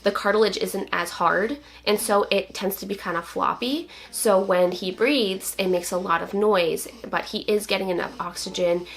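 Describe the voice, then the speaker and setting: A young woman with a slightly raspy voice, still getting over a cold. She talks in an open, chatty way to camera, stringing thoughts together with likes and so's at a mid pitch. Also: a bit bright. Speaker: vlogger, new mother. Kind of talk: casual vlog chat.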